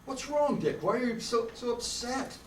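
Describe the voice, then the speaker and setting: A woman's voice: on the high side, animated, moderate pace, close to an everyday voice. A woman playing a mild-mannered, friendly male newspaper reporter in an amateur radio drama. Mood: concerned. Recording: distant echoey room, faint noise.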